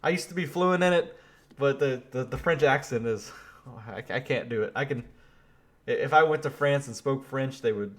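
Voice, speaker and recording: A man from Louisiana giving casual political commentary, animated and quick to laugh, with frequent restarts. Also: medium pitch.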